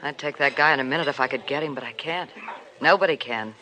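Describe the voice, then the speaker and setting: A woman's voice: extremely low pitch, quick pace, sharp tone, rough recording. An actress in a 1950s radio drama playing a worldly, frank woman in love with a married man, with a tough, wisecracking manner.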